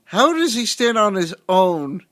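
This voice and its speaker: Male co-host, high-pitched, wry, skeptical commentary on plot holes. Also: big pitch swings, measured pace, a bit bright. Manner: wry, questioning, picks apart plot holes. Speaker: podcast co-host. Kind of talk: casual movie discussion.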